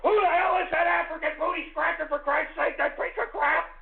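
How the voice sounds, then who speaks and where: A man hosting a call-in radio show, ranting, shouting and swearing at callers while losing his voice. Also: extremely high pitch, fairly even intonation, fairly quick, vocal fry, heavy background noise. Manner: shouting, profane, abrasive. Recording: phone-like narrow sound.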